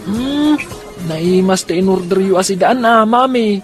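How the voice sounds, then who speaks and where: A man's voice: high-pitched, unhurried, soft background music. A man with a Filipino accent playing an eager, scheming young son in a radio drama. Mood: cheerful, curious.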